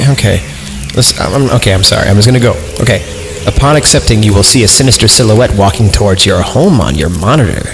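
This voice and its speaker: Low-voiced irreverent man over music. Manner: irreverent, slangy, sweary. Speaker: podcast host reading horror stories. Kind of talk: story reading with joking ad-libbed commentary.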